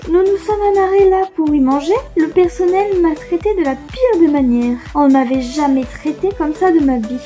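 A woman's voice: high voice, moderate pace, few pauses, mellow, faint music behind. A woman reading reviews aloud with playful, teasing asides.

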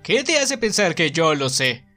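A cheeky young enforcer with a bitter edge, acted by a man in an anime fan dub. His voice is fairly high, with a Latin American Spanish accent and casual, expressive delivery over music. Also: moderate pace. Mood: bitter, intense. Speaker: amateur fandub voice actor.

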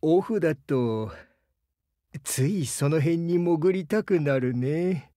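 A man playing a gentle, bashful crocodile diving instructor in a Japanese game, earnest and hesitant, easily flustered. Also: a little high, animated, slow pace, no echo, studio quality. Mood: calm, friendly. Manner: soft-spoken, hesitant, earnest, stammers when flustered. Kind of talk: Japanese game character voice lines.

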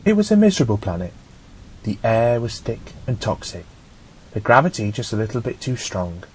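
A man reading a scripted story as an audiobook narrator, in a measured, dramatic storytelling manner. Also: mid-range voice, sing-song, slight background noise, low-quality recording.

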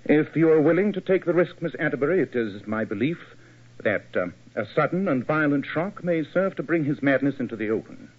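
A commanding british detective in an old radio play, very expressive, husky, tinny sound. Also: male voice, on the high side, a little fast. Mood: brisk, persuasive. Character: shrewd, courteous, commanding English gentleman detective. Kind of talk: old-time radio acting.

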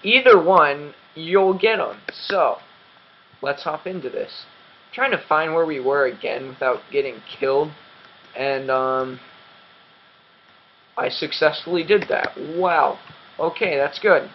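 A man's voice: a little high, slow pace, pauses often, dull audio, slight background noise. A young man hosting a game commentary, rambling and self-mocking with lots of ums, his voice dry and cracking. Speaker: gaming channel host.

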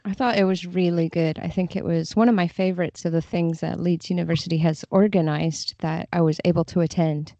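Mellow, lowish-voiced woman, slightly muffled. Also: steady tone, a little fast, hardly any pauses, no echo. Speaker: podcast guest, freelance translator. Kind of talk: casual interview chat.

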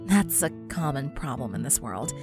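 A woman's voice: very deep voice, expressive, moderate pace. A woman playing an easygoing, sympathetic, sheepish teenage girl, casual and wry, in a cartoon-style audio story. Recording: guitar music behind.

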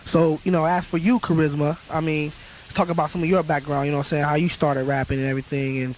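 Higher-pitched, quick young male interviewer. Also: flat delivery, low-quality recording. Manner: slangy, repeats "you know what I'm saying". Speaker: radio interviewer. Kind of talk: casual interview chat. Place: small radio studio. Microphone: studio boom mic. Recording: hissy college radio recording.

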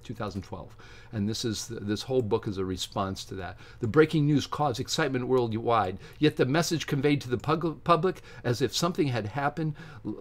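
A middle-aged man with an American accent giving casual, opinionated vlog commentary, emphatic, reading aloud haltingly from a book. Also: mid-range voice. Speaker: science video host. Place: small home study with bookshelves.

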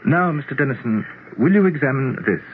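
An actor playing a courtly, self-assured otherworldly prince in a 1970s radio drama, formal and theatrical. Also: male voice, medium pitch, expressive, fairly quick, lightly acted. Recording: muffled, hissy old radio broadcast.

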